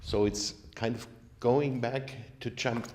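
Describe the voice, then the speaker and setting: A man's voice: medium pitch, fairly even intonation, slow, darkish tone, slight background noise. A man interviewing a band, asking questions with some hesitation and fillers.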